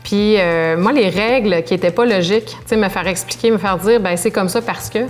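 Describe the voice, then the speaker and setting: A woman's voice: medium pitch, fairly quick. A middle-aged woman with a Québécois French accent, talking candidly as an interview guest and filling her speech with lots of fillers. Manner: lively, candid, with frequent fillers. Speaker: business owner, interview guest. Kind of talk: conversational interview.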